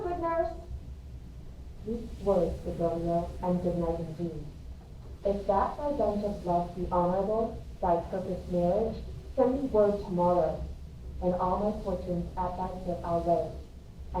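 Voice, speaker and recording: A woman's voice: fairly low pitch, lively intonation, measured pace, a little mellow. A woman reading the part of an earnest, anxious young girl in love in a Shakespearean verse drama. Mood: tender, reluctant. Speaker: amateur reader in a school play. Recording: roomy, distant mic, faint noise.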